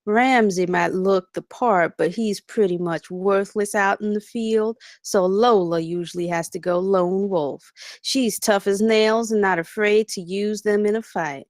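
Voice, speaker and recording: A woman's voice: medium pitch, measured pace, dry recording, studio quality, natural voice. A woman playing a chatty campus security officer who gives breezy, gossipy introductions in a screenplay table read.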